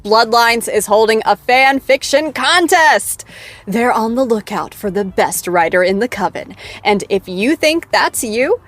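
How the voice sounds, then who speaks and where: A woman's voice: on the high side, animated, measured pace, a bit bright. An actress playing a bubbly young talk show host who teases her guest and her fans in a playful, theatrical way. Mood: upbeat teasing.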